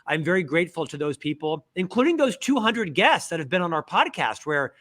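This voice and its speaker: A middle-aged man from Central Florida, a guest author in a casual interview, telling lively stories with self-deprecating humor. He has a stutter. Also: on the high side, brisk. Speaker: guest, author and podcast host.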